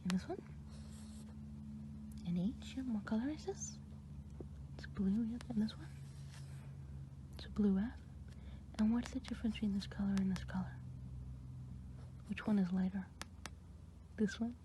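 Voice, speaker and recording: A woman voicing a kindly good witch in an ASMR roleplay, whispering softly with patient, encouraging praise. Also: mid-range voice, measured pace, long pauses, noisy background, close to an everyday voice. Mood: gentle.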